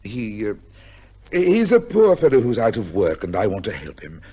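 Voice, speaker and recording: Radio drama judge: husky male, lowish pitch, formal and severe, sweeping intonation. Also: wildly swooping pitch, mellow, exaggerated voice. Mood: tender, evasive. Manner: formal, measured, pompous. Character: proud, severe English high court judge with a secret life. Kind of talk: old-time radio drama acting, with first-person narration.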